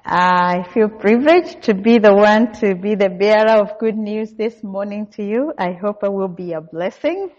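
A middle-aged woman from Kenya with a Kenyan accent, giving a guest sermon in a church and speaking in a measured way with frequent "uh" fillers. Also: mid-range voice, a little mellow. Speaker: guest preacher. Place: church hall. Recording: dull recording with long hall reverb.

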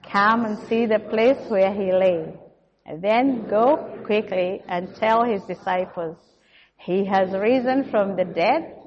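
A middle-aged Kenyan woman delivers a sermon in an unhurried, measured voice with lots of small fillers. The recording is dull and lo-fi, and her voice rings out with a long church-hall reverb. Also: mid-range voice. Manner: measured, warm church address with frequent "uh" fillers. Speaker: guest preacher.

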